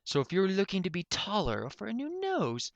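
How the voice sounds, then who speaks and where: A man's voice: sits high, huge pitch swings, brisk, creaky, dry recording, dull audio, voice pushed up, full character voice. A man voicing an irritated, sardonic unicorn stallion professor in a pony story, curt and mocking in manner.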